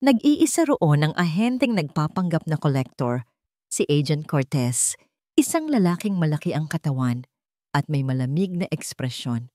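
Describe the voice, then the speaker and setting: Filipino lady telling a dramatic story, measured n suspenseful, close dry sound, very expressive pitch. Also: dry recording. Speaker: story narrator. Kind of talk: dramatic scripted story narration.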